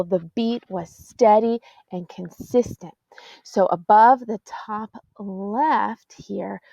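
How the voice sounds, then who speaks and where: A choir teacher, patiently explaining sheet music to middle school singers and counting beats aloud. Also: female voice, on the high side, dark tone, dry recording. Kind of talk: classroom music lesson. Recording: dull, dry lo-fi audio.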